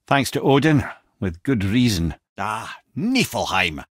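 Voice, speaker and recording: Wry old Scottish storyteller, animated delivery. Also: male voice, on the low side, slow pace, no room sound, studio quality, full character voice. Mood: wry advising. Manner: wry, chatty, wordy storytelling. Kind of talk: video game character dialogue.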